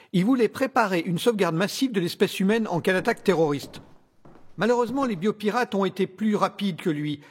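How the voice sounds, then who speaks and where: A man's voice: sits high, husky. A man playing a cunning, courtly scientist villain in an audio drama, speaking in a formal, theatrical, condescending way with veiled menace. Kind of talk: scripted audio drama acting.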